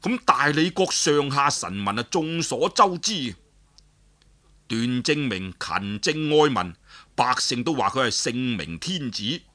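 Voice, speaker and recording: Lively, bright-voiced Cantonese male storyteller. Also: mid-range voice, slow pace. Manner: explanatory, colloquial, addresses listeners with rhetorical questions. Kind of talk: dramatic Cantonese storytelling narration.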